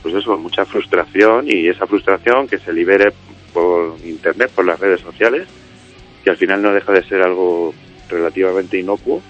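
Deep-voiced man musician on the radio. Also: moderate pace, muffled recording, soft background music. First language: Spanish. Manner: rambling, many fillers and restarts. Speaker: musician guest, singer and songwriter of a band. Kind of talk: casual radio interview.

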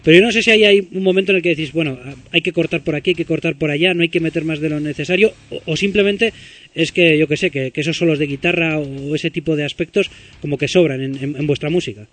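A man's voice: fairly high pitch, fast, a bit bright. A male radio interviewer asking long, chatty questions and praising the band's music.

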